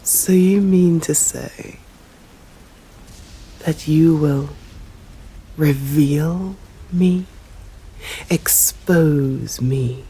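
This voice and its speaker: A woman with an English accent voicing a stern, proud female vampire in an ASMR roleplay, speaking formally and deliberately and often slipping into whispers. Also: low voice, warm tone, slightly rough, exaggerated voice, poor audio. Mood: intrigued, challenging. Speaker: voice actor. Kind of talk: ASMR roleplay, scripted dramatic monologue.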